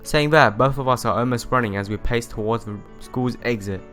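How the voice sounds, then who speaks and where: A man's voice: mid-range voice, brisk, fairly bright tone. A man reading visual novel narration aloud as a let's player, telling it expressively and sometimes stumbling and rereading phrases. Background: music.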